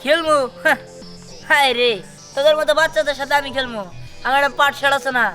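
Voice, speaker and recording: A woman's voice: sits high, fairly even intonation, fast, pushed and bright, faint music behind. A woman playing a cocky, boastful village boy in a fairy-tale drama, taunting.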